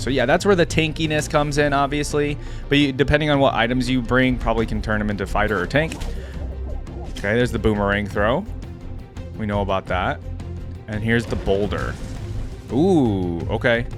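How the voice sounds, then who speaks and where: A man's voice: medium pitch, moderate pace, music in the background. A man giving casual reaction commentary on a video game, conversational and thinking aloud as he reads and adds asides. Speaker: YouTube reaction host.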